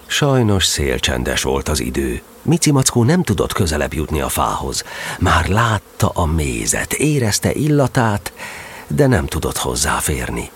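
A man narrating a children's story from a script in an expressive storytelling manner. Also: rumbling low, slight background noise.

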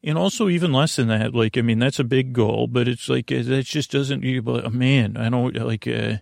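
Creaky-voiced man rambling a bedtime podcast. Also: medium pitch, no room sound, studio quality. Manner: meandering, halting, repeats and trails off mid-thought. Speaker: podcast host.

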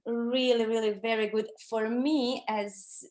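A middle-aged woman from Croatia with a Croatian accent tells a personal story as an interview guest, conversationally, pausing to search for words in her second language. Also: fairly high pitch, fairly even intonation, darkish tone. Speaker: interview guest recounting her own experience.

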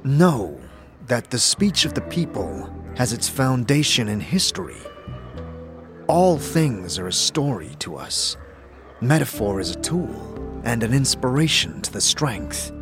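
A man voicing a stern githzerai warrior teacher who speaks in measured, solemn, formal aphorisms. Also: medium pitch, sing-song, music in the background. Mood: solemn, instructive.

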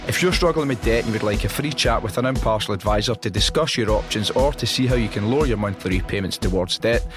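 Deep-voiced Scottish man hosting a podcast. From Scotland. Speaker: podcast host. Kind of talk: casual chat and scripted show introduction.

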